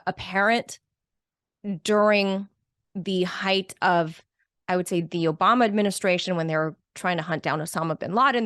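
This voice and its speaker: A woman's voice: medium pitch, fairly bright tone, dry recording. A woman with a mid-range voice talks as a podcast guest. She is emphatic but breaks her sentences up with fillers. The recording is dry and close. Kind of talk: casual conversational chat.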